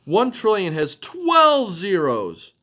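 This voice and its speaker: A man reading a children's picture book aloud as a storytelling father, expressive and explaining things to a child. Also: high voice, takes it slowly.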